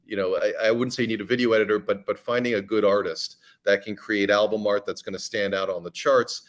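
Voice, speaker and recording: A man speaking as a guest on a podcast, chatty and giving advice with frequent ums and restarts. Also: on the low side. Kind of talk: casual podcast conversation.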